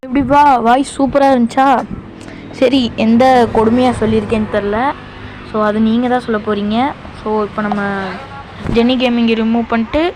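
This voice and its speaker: A woman talks casually to her audience in a fairly high-pitched voice. She is a self-deprecating video creator, and clear noise sits behind her speech. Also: fairly even intonation, normal speed. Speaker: video creator trying out gamers' intro lines. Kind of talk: casual chat to viewers. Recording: clearly noisy, background hum.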